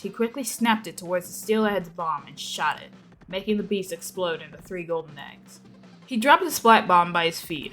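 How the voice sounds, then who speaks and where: A woman narrates a story in a dramatic reading style. Her voice sits a little low, and music plays along behind her. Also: a bit bright. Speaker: narrator of a fan fiction reading.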